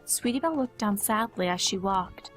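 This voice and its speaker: Female narrator, mid-range voice, scripted storytelling with soft music underneath. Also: medium pitch, normal speed.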